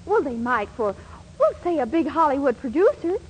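An eager scheming girl, super high swoopy voice, noisy old radio comedy. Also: sing-song, normal speed. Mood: encouraging. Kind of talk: 1940s radio sitcom acting. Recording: noisy 1940s radio recording.

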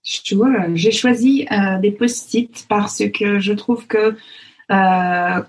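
A woman, from Canada, talks as an interview guest. Her voice sits at a middle pitch, and her speech is halting, full of fillers and restarts as she gathers her thoughts. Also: fairly even intonation, poor audio. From Canada (Alberta). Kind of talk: casual interview talk.

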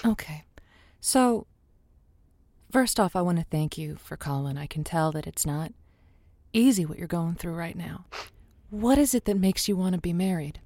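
An actress playing a probing, sympathetic woman who hosts a radio advice show in an audio drama, coaxing callers in halting, folksy phrases. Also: fairly low pitch, expressive, warm tone, no room sound. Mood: sympathetic, advising. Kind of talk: semi-improvised call-in show drama.

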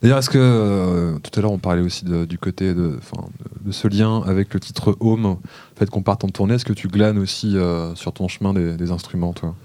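A man's voice: low-pitched, steady tone, moderate pace, dark tone, dry recording. A man hosting a radio interview, introducing tracks and asking questions with hesitant fillers. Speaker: radio host.

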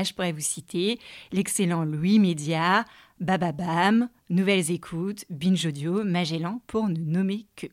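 A woman's voice: lowish voice, no echo. Playful woman podcast coach, dry sound. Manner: playful, teasing direct address. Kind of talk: podcast lesson.

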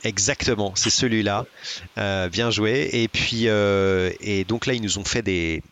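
A man telling stories in a casual chat, hesitant, with many pauses and restarts. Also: lowish voice, a bit bright, clear, resonant tone. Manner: hesitant, many filler sounds and restarts, storytelling. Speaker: podcast guest.

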